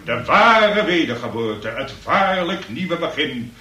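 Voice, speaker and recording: An actor playing a pompous, florid magistrate in a radio play, with a theatrical, oratorical and emphatic manner. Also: male voice, a little high, big pitch swings, slow pace, bright, forceful tone, exaggerated voice. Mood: pompous, grandiose. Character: pompous, florid, grandly magnanimous male examining magistrate. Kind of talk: Dutch radio play acting. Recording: echoey courtroom sound, distant from mic.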